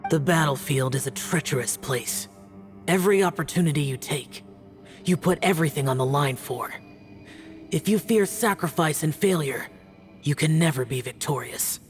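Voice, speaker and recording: A man's voice: on the high side, moderate pace, natural voice. Guy voicing a grim stoic young warrior in a game, terse n solemn, self-sacrificing type, music behind. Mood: solemn, unyielding. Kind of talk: video game voice acting.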